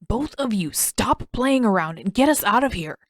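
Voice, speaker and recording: A woman's voice: on the high side, animated, moderate pace, dry recording. A woman reader plays a whiny teenage boy in an adventure audiobook. He is exasperated and sarcastic, stressing his complaints indignantly. The sound is close and dry.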